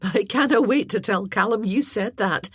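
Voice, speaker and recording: A woman's voice: medium pitch, normal speed, slightly rough, dry recording, studio quality, voice pushed up, close to an everyday voice. A woman voicing a clumsy, tearful young noblewoman who talks in a breathless, apologetic rush. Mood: nervous, eager.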